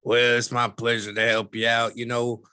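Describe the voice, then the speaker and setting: A man playing a skeptical, folksy newspaperman as a podcast guest, speaking in a measured, wry and slightly condescending way. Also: medium pitch, completely flat delivery, sharp tone, clean tone, dry recording, studio quality, natural voice. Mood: affable. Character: skeptical, folksy, wryly dismissive male newspaperman. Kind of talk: scripted podcast interview drama.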